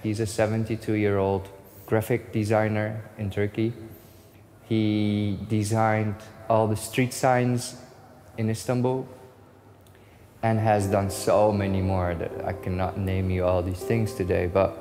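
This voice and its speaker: A lower-voiced man from the Netherlands shows off his new store, speaking in English with eager energy. He recounts his calls with the designers like little scenes, and music plays underneath him. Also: level tone, a little slow, darkish tone. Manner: enthusiastic storyteller, acts out phone calls. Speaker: store owner giving a tour. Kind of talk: casual walkthrough narration. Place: inside a large shop.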